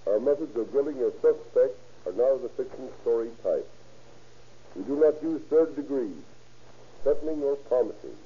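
A man's voice: mid-range voice, husky. A man reading a scripted address stiffly and formally as a police captain on 1930s radio. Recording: muffled, noisy 1930s radio recording.

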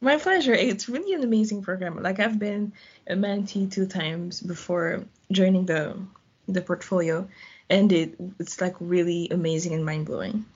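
Husky woman, chatty guest. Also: lowish voice, normal speed, darkish tone, dull audio. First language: French. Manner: chatty, laughs often, uses "like". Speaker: guest, volunteer. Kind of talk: casual interview answers.

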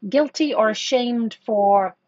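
A woman's voice: on the high side, slow, a little mellow, highs cut off. A woman from Ireland, a corporate executive talking thoughtfully as a podcast guest, with many restarts. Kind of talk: conversational podcast interview.

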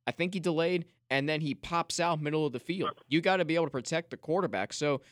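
A man hosting a sports podcast from a studio, recapping a football game with emphatic excitement. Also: fairly high pitch, quick pace, no echo. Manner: excited, emphatic, addresses co-host by name. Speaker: podcast host. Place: radio studio.

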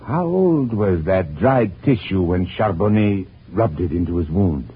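An actor playing a driven, troubled middle-aged French scientist in a 1940s radio drama, halting and brooding. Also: male voice, low-pitched, expressive, breathy edge, full character voice. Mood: pondering, excited. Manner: halting, brooding, theatrical delivery. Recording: muffled narrow-band old radio recording, hiss.

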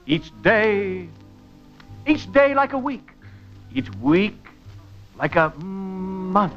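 A man announcing in a florid, drawn-out 1940s radio manner, narrating a dramatic scene. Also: sits high, lively intonation, a bit bright, lo-fi sound, soft background music.

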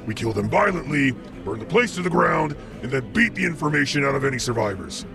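An actor playing a bossy, irritable demon gang boss in a comedic fantasy, blustering and impatient. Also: male voice, mid-range voice, sing-song, a little fast, gravelly. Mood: scheming. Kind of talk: comedic fantasy villain acting. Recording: music behind the voice.

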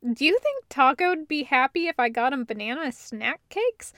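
A woman voicing an earnest, excitable nerdy inventor boyfriend in an audiobook, with a rambling, reassuring, casual way of talking. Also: sits high, moderate pace, dry recording, clean studio sound. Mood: eager, excited. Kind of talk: acted dialogue in an audiobook reading.

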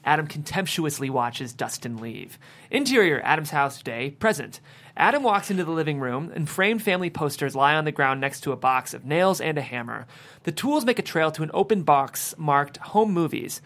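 A man's voice: a little high, lively intonation, moderate pace, fairly bright tone. A man narrating the stage directions at a screenplay table read.

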